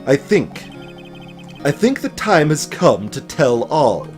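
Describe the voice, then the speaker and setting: A man voicing a haunted, solemn game character confessing a dark memory, grave, halting and dramatic. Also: on the high side, very animated, soft background music. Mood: haunted, hesitant. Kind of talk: dramatic voiced reading of game dialogue.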